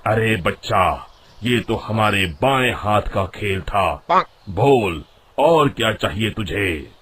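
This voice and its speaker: A man's voice: on the low side, lively intonation, slow, a bit bright, slightly rough, exaggerated voice. A man voicing a sly, pompous village holy man in a cartoon moral story. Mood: grand, assured. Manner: pompous, chanting, addresses listeners as a child.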